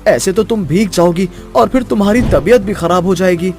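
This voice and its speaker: A man's voice: high voice, moderate pace, a little mellow, soft background music. A man voicing an earnest, kind-hearted young schoolboy in a children's fairy tale. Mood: caring. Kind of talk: children's story voice acting.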